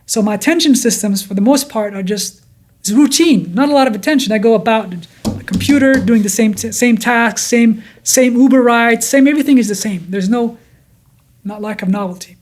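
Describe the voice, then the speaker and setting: A neuroscientist guest from Denmark, of Kurdish origin, with a blended accent in English, explaining at length and often repeating words and restarting. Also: male voice, extremely high pitch, moderate pace. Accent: blended Danish and Kurdish. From Denmark, Kurdish from Iraq. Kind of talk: explanatory interview talk.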